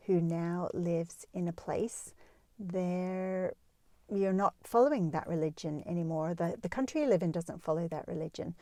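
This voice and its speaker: Female advice host, relaxed mellow tone in a dry space, talking listeners through things reassuringly. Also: fairly low pitch, no room sound. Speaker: host of a parenting advice show. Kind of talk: explanatory advice talk addressed to listeners.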